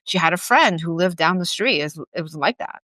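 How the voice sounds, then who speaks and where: A woman's voice: on the low side, expressive, fairly quick, pushed and bright, no room sound, clean studio sound. A woman in her forties, from Taiwan, talking candidly and upbeat in an interview, with lots of "like" and small laughs. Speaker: guest, gym CEO. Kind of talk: casual interview chat.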